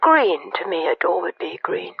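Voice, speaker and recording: A woman's voice: lowish voice, very animated, normal speed, creaky, breathy edge, dry recording, full character voice. An actress playing an earnest, slightly dotty arty woman, hesitant and vague as she explains herself on a spoof phone-in. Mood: earnest musing. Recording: dull, dry telephone line.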